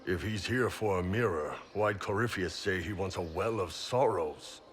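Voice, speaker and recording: A skeptical companion, voiced by a man with a very low voice and a casual, doubting way of asking. Soft game music sits behind him. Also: moderate pace, close to an everyday voice.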